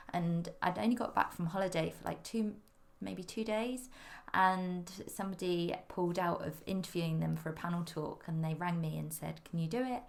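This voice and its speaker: A woman's voice: fairly low pitch, creaky. A woman hosting a fashion podcast, chatty and upbeat as she introduces her guests. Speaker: podcast host.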